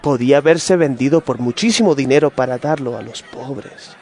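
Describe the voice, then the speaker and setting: A man's voice: medium pitch, normal speed, darkish tone, some chatter behind, lightly acted. A man playing an indignant, scolding onlooker in a scripture audio drama. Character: indignant adult male onlooker scolding about waste.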